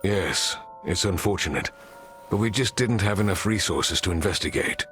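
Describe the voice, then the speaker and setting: An actor playing a dutiful resistance commander in a fantasy video game, serious and measured. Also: male voice, low voice, expressive, a bit bright, soft background music. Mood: sober, regretful.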